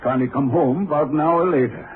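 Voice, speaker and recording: A man's voice: a little high, brisk, lightly acted. An actor playing a protective middle-aged rancher father, hesitant and pleading, in a radio drama. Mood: protective, uneasy. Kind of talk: 1950s radio drama acting. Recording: very noisy narrow-band old radio.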